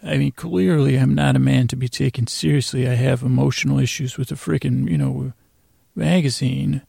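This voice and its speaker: Very creaky, droning man rambling softly. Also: mid-range voice, fairly even intonation, dry recording, clean studio sound. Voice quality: creaky, gravelly. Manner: droning, meandering, halting with repeated tangents. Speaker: podcast host. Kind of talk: rambling bedtime sleep podcast monologue.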